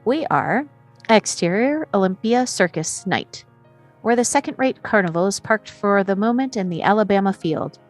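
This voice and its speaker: A woman's voice: mid-range voice, expressive, moderate pace, faint music behind. A woman reading stage directions as the narrator of a screenplay.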